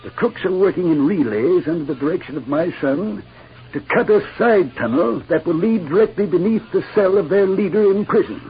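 A man's voice: high-pitched, expressive, a little fast. An actor playing a desperate middle-aged father freed from outlaw captors, earnest and anxious, in a 1950s radio western. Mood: earnest, troubled. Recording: muffled old radio recording.

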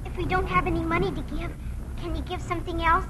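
A woman's voice: extremely high pitch, steady tone, fast talker, noisy background. A female performer playing an earnest, sweet little farm girl in a 1940s radio drama. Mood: earnest, shy. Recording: 1940s radio broadcast recording.

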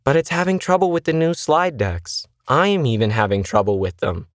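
A man's voice: on the high side, sing-song, moderate pace, no room sound, clean studio sound, pitched above the performer's own voice. Man reading as a stressed, curt woman trainer. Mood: stressed, protesting. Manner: curt, defensive.

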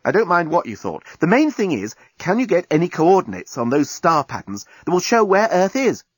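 A man voicing an excitable, forceful young Earth woman with an emphatic, exclaiming delivery in an audiobook. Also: lowish voice, normal speed, breathy edge, dry recording, clean studio sound, pitched above the performer's own voice. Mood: urgent. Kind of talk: audiobook character voice.

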